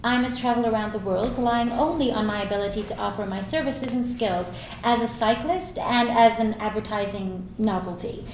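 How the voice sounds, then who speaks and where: A woman's voice: a little high, normal speed, a little mellow, natural voice. A woman playing a proud, determined cyclist adventurer, firm and self-assured, at a screenplay table reading. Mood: proud, resolute. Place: echoey room, live table reading. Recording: echoey room, faint background noise.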